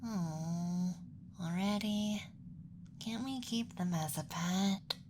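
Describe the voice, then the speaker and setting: A woman playing a cute, doting, clingy young alien abductor in soft, coaxing ASMR roleplay, close to the microphone. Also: on the low side, takes it slowly, noisy background. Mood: pleading, affectionate. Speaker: ASMR performer.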